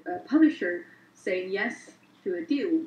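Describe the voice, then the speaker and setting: A woman's voice: mid-range voice, unhurried. Emphatic woman, echoey call audio. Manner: emphatic, encouraging, animated. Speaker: illustrator panelist. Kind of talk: casual panel chat. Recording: echoey room, dull call audio, faint hiss.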